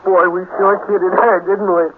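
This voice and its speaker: An actor playing a reluctant, hesitant schoolboy sidekick in a 1950s radio drama. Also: male voice, high-pitched, level tone, moderate pace, noisy background, natural voice. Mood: dopey, dismissive. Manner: hesitant, doubtful. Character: reluctant, nervous, slow-to-catch-on schoolboy sidekick. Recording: thin, narrow old radio recording.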